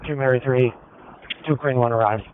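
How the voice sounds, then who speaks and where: A man's voice: medium pitch, fairly even intonation, moderate pace, smooth. A man giving terse emergency calls over a two-way radio. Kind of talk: terse fire and police radio traffic. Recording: dull, narrow scanner radio.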